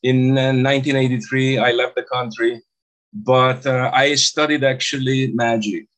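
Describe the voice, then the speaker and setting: An older man from Hungary with a Hungarian accent, telling stories casually as an interview guest in halting, non-native English phrasing. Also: mid-range voice, fairly even intonation, a bit bright, low-quality recording. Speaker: interview guest, stage hypnotist. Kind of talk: casual storytelling about own career.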